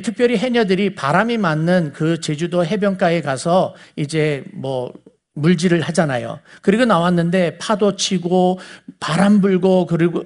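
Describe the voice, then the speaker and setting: A man with a high voice and a Seoul accent teaches like a preacher talking to his congregation. He explains step by step in a conversational way, often turning to his listeners with rhetorical questions. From South Korea. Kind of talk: conversational sermon lecture to a congregation.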